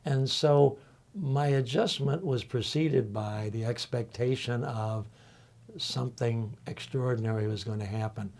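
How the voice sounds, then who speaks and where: An older man on a discussion panel, speaking thoughtfully and haltingly, with many pauses and fillers. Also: medium pitch, creaky, darkish tone. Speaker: panel guest, college faculty member.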